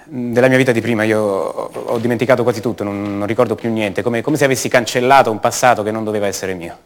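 An actor playing the brooding adult son of a disappeared woman, speaking haltingly and intimately in a radio theatre monologue. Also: fairly low pitch, fairly even intonation, close to an everyday voice. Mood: troubled, anguished. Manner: halting, repeats words, intimate.

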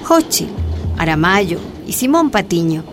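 A woman's voice: on the low side, big pitch swings, unhurried, over music. A woman playing a courteous, inquisitive journalist who narrates a radio drama in a polite storytelling manner. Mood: narrating.

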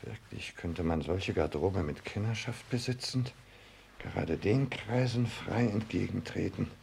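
Scheming suitor, self-important and brooding, low slow voice, deliberate radio drama monologue. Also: male voice, vocal fry, darkish tone, a little hiss or hum. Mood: scornful, envious. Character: self-important male social climber, calculating and romantically scheming. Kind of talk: 1960s German radio play monologue.

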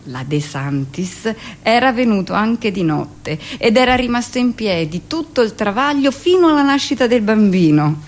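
A woman's voice: lowish voice, darkish tone, a little hiss or hum, lightly acted. A woman playing a brusque, gossipy middle-aged hospital midwife who tells her memories in a theatrical, conversational radio monologue. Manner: conversational, dramatic pauses, shifts into mimicked speech. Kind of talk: theatrical first-person monologue, confiding storytelling.

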